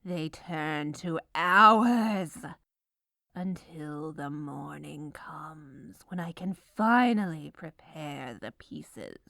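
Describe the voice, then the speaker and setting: A woman's voice: low voice, expressive, very slow, vocal fry, sharp tone, dry recording, clean studio sound. A woman performing scripted comedic ASMR narration as a gleefully menacing, melodramatic baker, with a theatrical villainous delivery.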